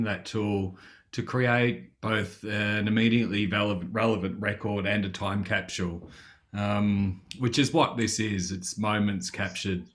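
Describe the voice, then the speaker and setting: Low-voiced man presenting a project. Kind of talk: live online presentation.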